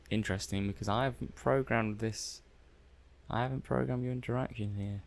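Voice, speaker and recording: A man playing a curious, methodical robot-testing technician in a soft-spoken ASMR roleplay, musing hesitantly as he thinks aloud. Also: on the low side, vocal fry. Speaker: roleplay performer.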